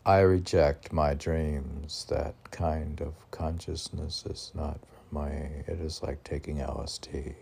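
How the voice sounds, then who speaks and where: A man's voice: extremely low pitch, level tone, takes it slowly, gravelly, hardly any pauses, dark tone, breathy edge. A man informally reading poems and commenting on them in a rambling, conversational way, posing questions and answering them himself.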